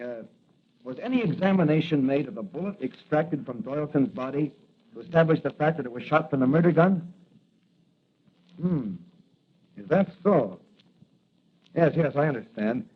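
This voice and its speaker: A man's voice: a little high, breathy edge. An actor playing an earnest, businesslike young policeman sleuthing in 1940s radio style. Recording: tinny, noisy vintage radio recording.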